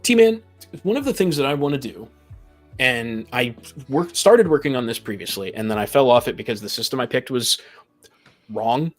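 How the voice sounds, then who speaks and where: A man's voice: mid-range voice, lively intonation, normal speed, faint music behind. A talkative man in a casual group chat, stammering and repeating the starts of his phrases. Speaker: tabletop game master, podcast guest.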